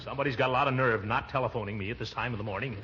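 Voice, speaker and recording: An actor playing a pompous, flustered middle-aged uncle who blusters and mutters in a 1940s radio sitcom. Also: male voice, medium pitch, racing, sharp tone, close to an everyday voice. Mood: flustered, grumbling. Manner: blustering, muttering, sputtering with chuckles. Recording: muffled, very noisy 1940s radio recording.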